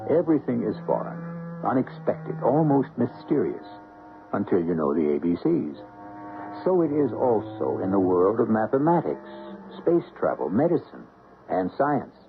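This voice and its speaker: Low, solemn old-time radio mystery host. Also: male voice, sing-song, vocal fry. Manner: solemn, deliberate, portentous. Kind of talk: dramatic scripted narration, old-time radio. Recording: tinny band-limited old radio recording. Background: dramatic music.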